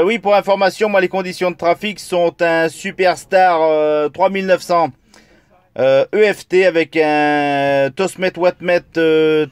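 A man's voice: a little high, clear, resonant tone, no echo. A man making a casual radio contact as a CB operator, filming his own video.

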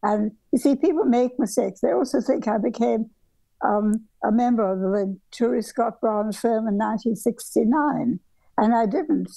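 A woman's voice: fairly high pitch, normal speed, vocal fry, dark tone, no room sound, poor audio. An older woman from southern Africa, a guest architect, talking in a meandering, reflective way over a video call from her home workroom, with frequent restarts. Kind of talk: reflective conversational storytelling. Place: home bedroom workroom, indoors.

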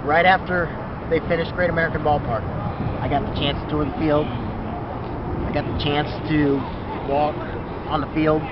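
A man's voice: a little high, little pitch movement, moderate pace, pauses often, muffled recording. A young man talking casually and emphatically, swearing now and then, filmed outdoors on a ballpark field by a friend's camera. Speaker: vlog host. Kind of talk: casual chat. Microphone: handheld camera held by friend. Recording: noisy outdoor camera audio.

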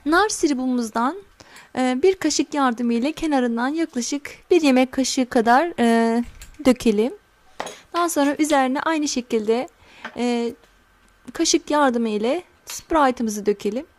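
A middle-aged woman hosting a home cooking channel, calmly talking viewers through a recipe step by step and addressing them warmly as friends. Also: high voice. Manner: slow, careful instructions. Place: home kitchen counter.

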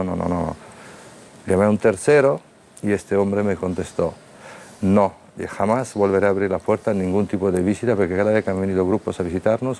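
A middle-aged man with a very deep, low voice speaks on a TV studio panel through a lapel mic. He tells his stories with lively energy, acting out quoted exchanges. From Italy. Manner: animated storyteller acting out quoted dialogue. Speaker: restaurateur guest. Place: TV studio set.

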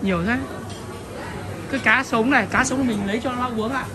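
A woman's voice: fairly high pitch, fairly quick. A woman chatting casually as she films her way through a restaurant buffet, slipping English phrases in among her commentary. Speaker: vlogger. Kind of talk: casual walk-through vlog. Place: busy indoor buffet restaurant. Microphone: handheld phone. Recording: loud crowd noise behind.

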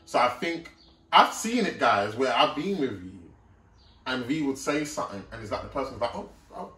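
A man's voice: medium pitch, animated, moderate pace, sharp tone. A young man co-hosting a casual chat, speaking straight to the audience and checking that they follow him. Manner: addresses the audience directly, often asks "you know what I'm trying to say?". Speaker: co-host. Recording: roomy sound, mic at a distance, faint music.

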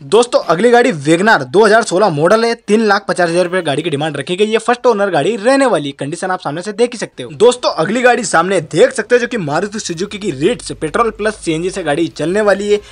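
Fast, high-pitched man from India, vlogger doing a phone-filmed lot walkaround, repetitive sales patter. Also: animated. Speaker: vlogger touring a used car lot. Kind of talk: off-camera walkaround commentary. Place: outdoor used car yard under a shed.

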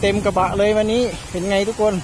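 A man hosting a casual vlog on a beach, giving animated, exclamatory play-along commentary. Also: high voice, quick pace. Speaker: vlog host. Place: outdoors on a sandy seashore. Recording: noisy outdoor recording.

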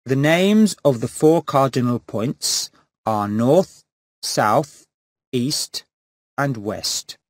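A man reading textbook answers slowly and clearly. His voice rises and falls a lot. The studio sound is dry. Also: medium pitch, lively intonation, dry recording. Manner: slow, clear enunciation. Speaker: recorded model speaker.

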